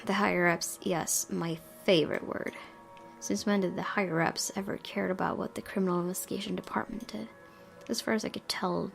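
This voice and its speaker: A woman's voice: lowish voice, vocal fry. A woman game streamer reading visual novel narration aloud expressively, dropping in her own asides.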